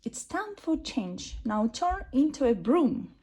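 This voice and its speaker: Little witch girl, impatient, fiery, high and bouncy , woman puts her on, storybook read. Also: takes it slowly, breathy edge, exaggerated voice. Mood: dismayed. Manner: excited, huffy exclaiming. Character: little female witch, impatient and hot-tempered.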